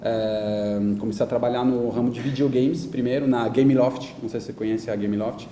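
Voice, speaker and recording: Mellow, dark-voiced Frenchman in echoey room. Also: medium pitch, steady tone, a little fast. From France. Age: 30s. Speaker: guest speaker, digital media company executive. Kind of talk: conversational talk in an interview. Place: indoor event talk before an audience. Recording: echoey room with faint background noise.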